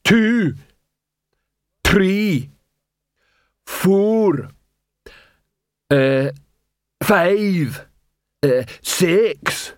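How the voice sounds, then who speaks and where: A man voicing a slow-witted male troll watchman who speaks in broken, halting grammar. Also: sits high, animated, no echo, clean studio sound, voice pushed up, heavily acted. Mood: straining.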